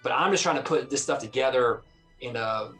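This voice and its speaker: A man hosting a film-fan video series, talking casually in a halting, rambling way and often correcting himself. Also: medium pitch, fairly bright tone, soft background music, poor audio.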